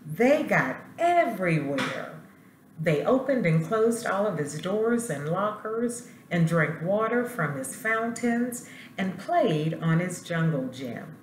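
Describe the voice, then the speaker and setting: A woman principal reading a children's picture book aloud in an expressive, deliberate storytelling way, with asides to her students. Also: on the low side. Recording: roomy echo, faint background noise.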